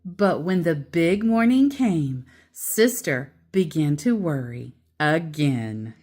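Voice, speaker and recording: A woman storyteller reading a children's book aloud with expressive, deliberate, emphatic phrasing and casual asides. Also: low-pitched.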